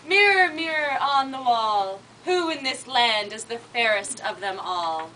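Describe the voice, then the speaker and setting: High, declaiming vain queen, voiced by a woman. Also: lively intonation, a little slow, sharp tone, a little hiss or hum, rough recording. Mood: vain, imperious. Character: vain, arrogant young queen chanting to her mirror.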